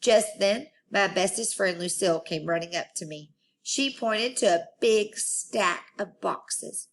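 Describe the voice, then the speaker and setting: Woman, storyteller, expressive children's read-aloud, mid-pitched voice. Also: measured pace, a little hiss or hum.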